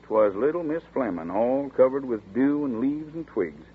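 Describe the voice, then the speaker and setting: An actor playing a chatty small-town sheriff's deputy who talks in a folksy rural dialect, in 1940s radio acting. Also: male voice, mid-range voice, very animated, moderate pace, husky. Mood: storytelling. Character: folksy drawling small-town male deputy, middle-aged. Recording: tinny scratchy 1940s radio broadcast.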